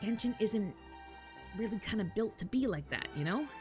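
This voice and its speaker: Gamer girl streamer, super lively voice, casual and sweary, faint music behind. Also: mid-range voice, normal speed. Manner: animated, swears casually, chuckles while talking. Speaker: game livestreamer. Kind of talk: casual chat with stream viewers. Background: faint game music and character voice lines.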